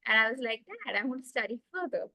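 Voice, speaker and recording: A woman's voice: a little high, quick pace, creaky, bright, forceful tone, no echo, studio quality. A woman in her thirties with an Indian accent, a guest telling her life story eagerly over a video call, with many fillers. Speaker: guest, executive coach. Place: small room indoors.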